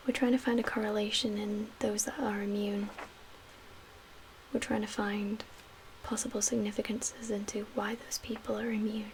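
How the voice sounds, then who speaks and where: A woman speaking in a hushed, breathy whisper with measured pauses, performing an ASMR roleplay as a calm, guarded survivor-camp medical official. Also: medium pitch, low-quality recording. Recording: audible background hiss and ambience.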